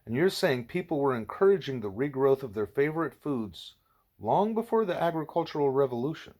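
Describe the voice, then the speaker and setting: A man's voice: medium pitch, big pitch swings, a little fast, darkish tone, pitched above the performer's own voice. A man reading the part of an earnest, inquisitive young girl pupil in an audiobook, questioning to check understanding. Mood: earnest, probing.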